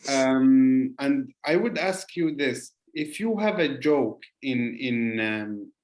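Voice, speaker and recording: A man hosting a casual podcast interview. He asks questions in a halting way, stopping to rephrase them mid-sentence. His pitch is ordinary for a man. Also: lively intonation, darkish tone. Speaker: podcast host.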